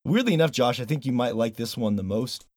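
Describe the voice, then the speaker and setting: A man's voice: medium pitch, fast talker, dry recording. A man hosting a casual podcast, chatting loosely with some swearing and reading a sponsor plug. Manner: swearing, animated, reads sponsor plugs. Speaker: podcast host.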